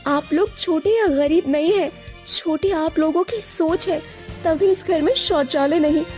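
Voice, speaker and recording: A woman acts out an outspoken young village woman in a cartoon moral tale. Her voice is strikingly high and full of earnest insistence. Music is clearly heard beneath it. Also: a little slow, a little mellow. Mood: reproachful. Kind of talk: acted cartoon story dialogue.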